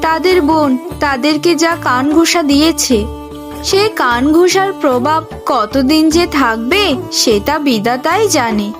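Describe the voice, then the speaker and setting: A woman's voice: high-pitched, unhurried. A woman playing a hurt, pious young sister-in-law in a cartoon family drama, earnest and lamenting. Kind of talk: melodramatic Bengali cartoon dialogue. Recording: clear music behind the voice.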